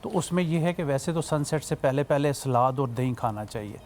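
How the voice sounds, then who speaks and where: A man's voice: mid-range voice, lively intonation, moderate pace, darkish tone, a little hiss or hum. A man, a doctor and health expert on a morning show, explaining health tips in a folksy, plain way. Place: TV studio.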